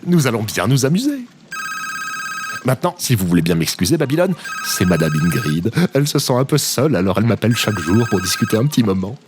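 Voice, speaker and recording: An actor playing a smug, theatrical, smooth-talking ex-president turned helpline operator in an audio drama. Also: male voice, on the low side, sing-song, fast talker, over music. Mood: smug, gleeful. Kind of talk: scripted audio drama acting.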